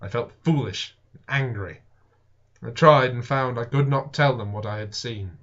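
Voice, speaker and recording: A man reading a classic science fiction novel aloud as dramatic narration, with deliberate, expressive, theatrical phrasing. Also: mid-range voice. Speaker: audiobook reader. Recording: dull lo-fi home recording.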